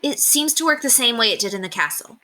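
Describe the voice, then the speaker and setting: A woman's voice: on the high side, lively intonation, fast talker, sharp tone, voice pushed up. A woman voicing an earnest, kind-hearted young boy in a storybook reading. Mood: hopeful.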